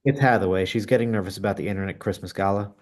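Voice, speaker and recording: A man's voice: low voice, fairly even intonation, very fast, darkish tone, close to an everyday voice. A man reading the part of a chatty, self-promoting fashion colleague in a screenplay table read. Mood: matter-of-fact.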